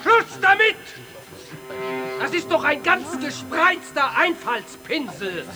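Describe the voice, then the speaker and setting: A woman's voice: high-pitched, big pitch swings, slow, full character voice. A woman playing an impatient, vindictive council member in a theatrical radio play. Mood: impatient, scornful. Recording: echoey hall, far off, music behind.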